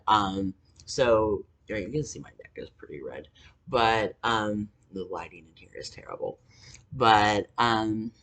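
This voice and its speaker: A man in his forties telling a personal story to camera, halting with fillers and angry swearing. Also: lowish voice, a bit bright. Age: 40s. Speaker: vlogger. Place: indoors, dim room.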